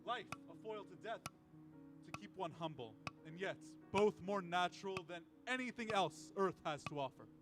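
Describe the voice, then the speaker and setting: A man playing a wry, lofty embodiment of death in a radio drama, making grand, measured pronouncements with sardonic jabs. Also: high-pitched, expressive. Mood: solemn musing. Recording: voice under prominent music.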